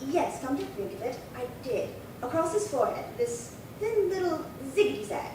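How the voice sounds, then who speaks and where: A woman's voice: sits high, big pitch swings, moderate pace, mellow. A woman acting a prim, chatty, indignant old lady in her late seventies in a 1950s-style radio crime drama, fussy and rambling. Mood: earnest recounting. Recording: very echoey, distant, noisy room.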